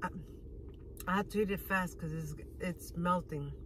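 A woman's voice: lowish voice, moderate pace, fairly bright tone, slight background noise. A woman in her thirties chatting casually while eating, filming a food review on her phone in a parked car. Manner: chatty, eating while talking, smacking sounds. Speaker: vlogger. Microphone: phone camera at arm's length.

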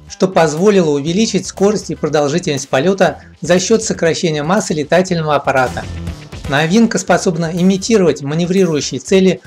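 A man reading a scripted voice-over report, steady and measured, going through long technical lists. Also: high voice. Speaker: narrator of expo video report. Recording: voice-over with faint background music.

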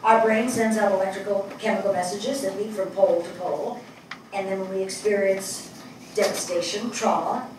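An older woman acting a reflective, grieving writer with wry touches in a deliberate, theatrical stage reading. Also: mid-range voice, fairly even intonation, lightly acted. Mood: lecturing, wry. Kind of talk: dramatic stage reading of a play. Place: small theatre stage before seated audience. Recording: echoey stage hall, distant mic, faint noise.

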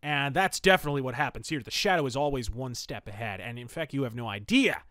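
A man's voice: mid-range voice, expressive, fast, fairly bright tone, no room sound. Man hosting a podcast, close dry sound. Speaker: podcast host. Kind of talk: reading pulp fiction aloud and reviewing it.

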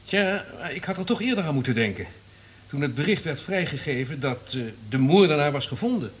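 An actor in a Dutch radio play playing a smug, calculating, cynical radio network executive. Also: male voice, a little high, lively intonation, fairly quick, slight background noise, close to an everyday voice. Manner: self-satisfied, calculating.

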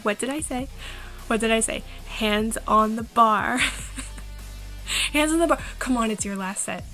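Woman playing a teasing affectionate girlfriend, asmr roleplay, bright playful voice, takes her time, bit of music under it. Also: fairly high pitch, measured pace. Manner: playful, encouraging. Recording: music behind the voice.

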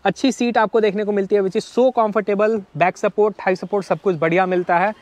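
A man's voice: high-pitched, fairly even intonation, a little fast, a little mellow. A young man, hosting a casual walkaround of cars on an outdoor lot, chatty and mixing in English phrases, on a lapel mic. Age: young adult. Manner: chatty, mixes English phrases, addresses viewers as brothers. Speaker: car vlogger host. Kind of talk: casual walkaround car showcase. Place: outdoor used-car lot. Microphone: clip-on lapel mic.

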